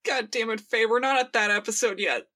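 Slightly high-voiced woman, wry podcast co-host. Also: a little fast, pushed and bright. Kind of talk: casual fan discussion.

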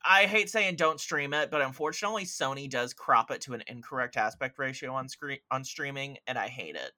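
Guy guesting on a film podcast, bright punchy voice, super opinionated, kinda rambly and gushing. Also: mid-range voice, lively intonation, a little fast. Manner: opinionated, emphatic, effusive. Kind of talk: casual film chat.

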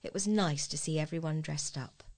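A woman reads scripted local news as a volunteer newsreader. Her pitch is a little low for a woman, and her delivery is measured, clearly read from the page. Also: a little mellow.

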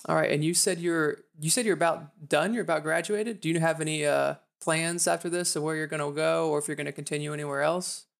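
A man's voice: on the high side, fairly even intonation, brisk, vocal fry, hardly any pauses. A man hosting a podcast interview, asking questions in a casual conversational style. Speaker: podcast host.

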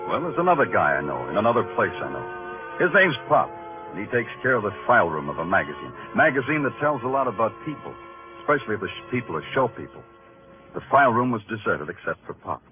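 An actor playing a world-weary, hard-boiled New York detective, wry and terse, in a vintage noir radio drama. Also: male voice, on the low side, very animated, fast talker. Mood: brooding, wry. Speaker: lead character and narrator. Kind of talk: 1940s-50s noir radio drama narration and dialogue. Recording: tinny old radio recording with music.

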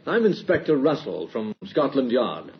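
A man playing a calm, formal, quietly persistent police inspector in an old radio drama. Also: medium pitch, normal speed. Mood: calm, probing. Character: composed, quietly persistent male Scotland Yard police inspector. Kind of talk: 1950s radio drama acting. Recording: muffled old radio recording with hiss.

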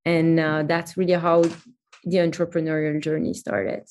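A woman's voice: deep voice, normal speed. A woman in her thirties from Belgium, chatting as a guest in a remote interview and telling stories with many fillers. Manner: chatty, animated storytelling with fillers. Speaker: guest, company CEO.

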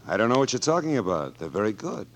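An actor playing an earnest, blunt American magazine editor in 1940s radio drama, brisk and emphatic. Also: male voice, fairly low pitch, big pitch swings. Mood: amiable.

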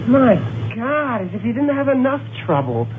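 A woman's voice: on the high side, big pitch swings, normal speed. An actress playing a brash, sarcastic, teasing young woman in a radio horror drama, with a wisecracking, theatrical delivery. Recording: tinny, noisy old radio recording.

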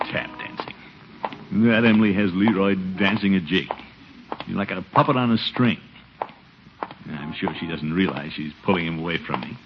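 An actor playing a pompous, put-upon middle-aged uncle in a 1950s radio comedy, blustering and muttering asides. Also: male voice, deep voice, moderate pace, pauses often. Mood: brooding. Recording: noisy, dull 1950s radio recording.

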